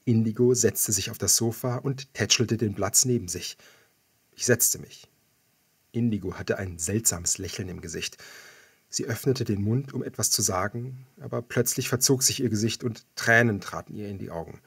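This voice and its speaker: Low, husky male audiobook narrator. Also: moderate pace, no echo, clean studio sound. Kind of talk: scripted first-person fiction narration.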